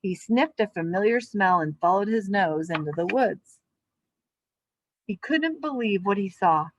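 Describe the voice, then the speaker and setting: A woman's voice: mid-range voice, expressive, a little slow, lo-fi sound, slight background noise. A woman speaking as a children's teacher, reading a storybook aloud and giving simple, encouraging instructions with check-in questions.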